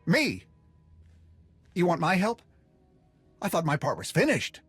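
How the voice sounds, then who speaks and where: Game role: self-serving smuggler, glib and jittery, very animated delivery, slightly husky. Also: male voice, high-pitched, a little slow, no echo, faint music behind, exaggerated voice. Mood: surprised. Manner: glib, wheedling, stammers when cornered. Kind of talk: video game voice acting.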